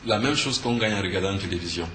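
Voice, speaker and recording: A man's voice: low-pitched, fast talker. A man from Cameroon with a Cameroonian French accent, teaching earnestly as an interview guest, emphatic and fond of answering his own questions. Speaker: spiritual teacher and conference speaker, interview guest. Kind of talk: earnest explanatory talk in a TV interview. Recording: echoey room with faint background noise.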